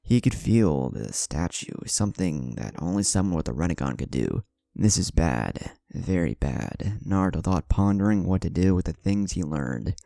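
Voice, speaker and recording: A man with a low, mellow voice narrates a scripted story dramatically. His pitch moves in lively swings, with faint music behind him. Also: moderate pace, creaky, few pauses, dry recording. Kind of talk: scripted fan fiction narration.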